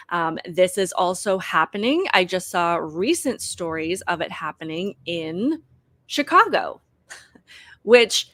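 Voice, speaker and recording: A woman's voice: mid-range voice, a bit bright, dry recording, studio quality. A woman hosting a political commentary show, reading news articles aloud and arguing her view in an earnest way, with frequent fillers.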